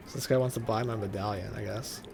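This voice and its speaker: A man's voice: fairly low pitch, fairly even intonation, fast talker, gravelly, noisy background. A man giving casual, offhand and mildly sardonic commentary as host of a video game playthrough.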